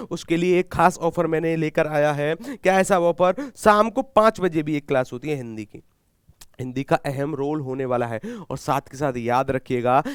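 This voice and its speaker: A man's voice: a little high, smooth, no echo, studio quality. A man teaching an online exam-prep class, who asks and answers his own questions and repeats key points with emphasis. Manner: emphatic, urging students.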